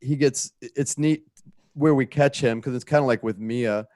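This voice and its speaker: A man's voice: mid-range voice, moderate pace, darkish tone, no room sound, studio quality. A man chatting casually on a panel, reflective and conversational as he tells his stories. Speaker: show creator, panelist.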